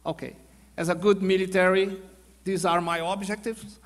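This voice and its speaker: A Brazilian man in his fifties, a general, presenting at a podium in a large auditorium in halting English with a Brazilian Portuguese accent, full of fillers and restarts. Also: high voice, flat delivery. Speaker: panel speaker, army general. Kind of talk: conference presentation. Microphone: podium microphone. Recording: long hall reverb.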